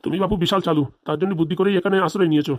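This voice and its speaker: A woman's voice: fairly low pitch, fairly even intonation, racing, darkish tone, close to an everyday voice. A woman plays an exasperated cartoon police officer. The questioning is nagging and accusing, delivered at a breakneck pace with a weary edge. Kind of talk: comic cartoon dialogue.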